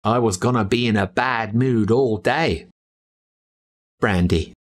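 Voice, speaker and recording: A man with an Australian accent narrating a spooky children's story in an expressive, suspenseful, first-person storytelling manner. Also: lowish voice, takes it slowly. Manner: expressive, suspenseful storytelling as a boy recalling events. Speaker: audiobook narrator.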